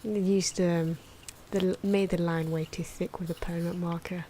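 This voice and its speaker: A soft-spoken, sometimes whispery woman giving unscripted, musing ASMR commentary as she draws, correcting herself as she goes. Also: lowish voice, little pitch movement, normal speed, slight background noise. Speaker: ASMR artist narrating own drawing. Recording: clock and pen noises behind. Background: ticking clock, pen scratching.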